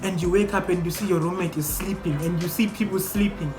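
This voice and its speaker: A man's voice: high voice, fast talker. Young man hosting, echoey room. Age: young adult. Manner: animated, questioning, laughs often. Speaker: host. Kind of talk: casual group discussion. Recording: echoey room with music behind.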